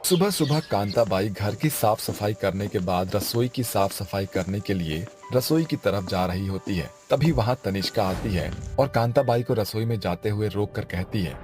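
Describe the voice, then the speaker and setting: A man's voice: on the low side, expressive, normal speed, hardly any pauses, a little mellow, faint music behind. A man delivering scripted narration for a family cartoon story.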